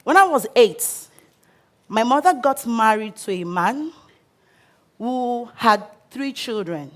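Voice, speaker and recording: Nigerian woman, thirties, speaker sharing a personal story; bright-ish voice, unhurried delivery. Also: a little high, lively intonation. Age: 30s. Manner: earnest, measured storytelling with pauses. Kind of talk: personal story talk on stage. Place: stage before a live audience.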